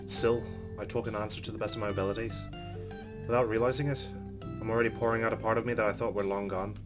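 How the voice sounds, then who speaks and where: A man's voice: fairly low pitch, level tone, fast, music in the background. A man hosting a let's play, reading a game's narration aloud and adding his own commentary.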